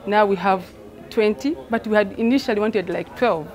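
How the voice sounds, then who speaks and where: A woman's voice: mid-range voice, normal speed, a little mellow. Woman, emphatic interview talk. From Rwanda. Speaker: theatre artistic director. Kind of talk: interview, reflective explanation.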